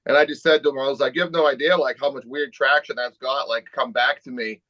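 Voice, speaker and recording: A man's voice: medium pitch, steady tone, fast, sharp tone, dry recording, highs cut off. A man as a podcast guest, talking in a laid-back, easygoing way. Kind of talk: casual conversation.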